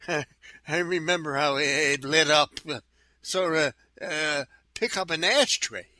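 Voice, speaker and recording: Guy doing an awkward detective's sidekick, really sharp bright voice, higher pitched, slow and stammery. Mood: dazed, groggy. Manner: halting, stammering, full of hesitations. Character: awkward, stammering male assistant to a private detective. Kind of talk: noir audio drama dialogue.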